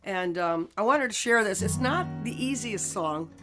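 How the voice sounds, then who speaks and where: A woman's voice: medium pitch, lively intonation, loud music behind the voice. Stuffed-up woman singer on a call. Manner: earnest, halting with fillers. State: stuffed up from a cold. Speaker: singer-songwriter guest. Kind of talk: casual talk introducing a song.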